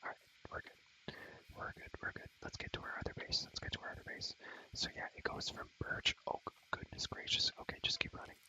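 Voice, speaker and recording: A young man whispering rambling ASMR commentary on a game in a breathy voice, repeating phrases over and over with playful, slangy asides. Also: fairly quick, bright, forceful tone, rough recording. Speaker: ASMR gaming creator.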